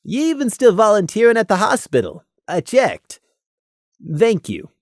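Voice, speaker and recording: A narrator plays a stallion guard in a pony story, serious and patient. His talk is blunt but kind, with an expressive rise and fall and a dry, close sound. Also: medium pitch, moderate pace, no echo, voice pushed up. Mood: gentle concern. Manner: blunt, patient, without accusation.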